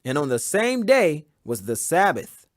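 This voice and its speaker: A man giving a solemn, emphatic and measured dramatic reading of scripture as an audiobook. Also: on the high side, very animated, no echo, studio quality. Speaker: Bible reader.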